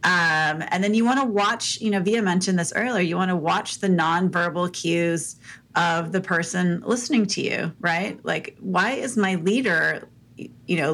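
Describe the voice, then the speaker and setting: A woman co-hosting a podcast in casual chat. Her soft voice sits a little low, and she gets to the point plainly and briskly, with a few "um"s along the way. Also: gravelly, slightly rough. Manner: direct, upbeat, fillers. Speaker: podcast co-host.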